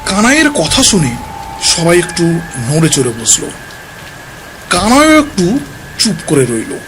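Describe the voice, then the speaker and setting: A man's voice: fairly high pitch, noisy background. A man giving a dramatic scripted narration of a ghost story as storyteller. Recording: music behind the narration.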